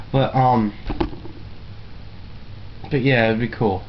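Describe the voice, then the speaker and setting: A man talks casually to the camera at a slow pace, rambling and stopping to search for words. His manner is self-deprecating. The sound is dull and lo-fi with faint noise behind it. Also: mid-range voice. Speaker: amateur video blogger.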